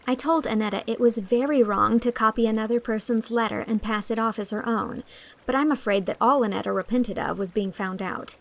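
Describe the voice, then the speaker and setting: A woman's voice: fairly high pitch, fairly quick, low-quality recording. A woman narrating a storybook in the first person, in an acted style.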